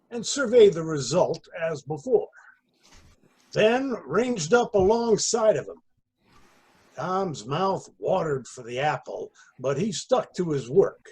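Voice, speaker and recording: A man narrates a story in a high, husky voice. His delivery is slow and theatrical, with a lively, deliberate rise and fall. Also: poor audio. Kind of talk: dramatic literary narration. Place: indoors on a video call.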